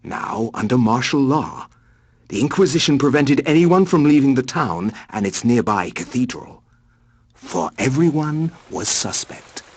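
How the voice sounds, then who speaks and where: An actor playing a grave scholarly researcher, narrating dark history solemnly and dramatically for a video game in a husky voice. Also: male voice, mid-range voice, expressive, a little slow, no room sound.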